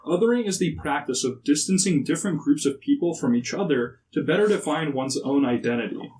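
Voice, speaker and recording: A young man asking semi-formal interview questions in a halting, hesitant way. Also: on the high side, expressive, darkish tone. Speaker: podcast interviewer. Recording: distant mic, noisy room ambience.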